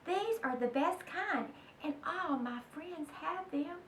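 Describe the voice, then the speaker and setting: Storybook girl, played by a woman: young, pleading, insistent, high and bright. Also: expressive, slow pace, exaggerated voice. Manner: wheedling, insistent, emphatic. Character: eager, pleading young girl begging her mother. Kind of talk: children's storybook read-aloud. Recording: roomy, distant mic, faint noise.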